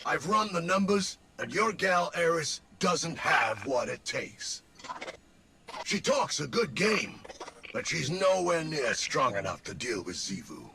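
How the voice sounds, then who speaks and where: A man's voice: a little high, big pitch swings, slow, vocal fry, background noise, full character voice. A man voicing a self-serving, wisecracking machine companion in a video game, with a blunt, slangy delivery. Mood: dismissive. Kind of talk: video game character acting.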